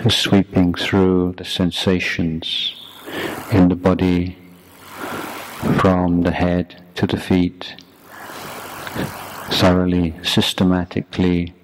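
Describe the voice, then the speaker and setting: A man guiding a meditation as a teacher, speaking in a measured, deliberate way in short phrases with long pauses. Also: sits low, completely flat delivery. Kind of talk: guided meditation talk. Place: youth retreat. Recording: clearly noisy background.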